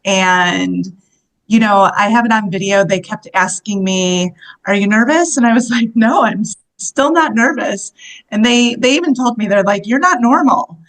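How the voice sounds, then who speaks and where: Animated, laughing woman in her fifties. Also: medium pitch, normal speed, poor audio. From United States. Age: 50s. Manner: animated, laughs often while telling stories. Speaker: guest, solo travel coach. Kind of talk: casual interview storytelling.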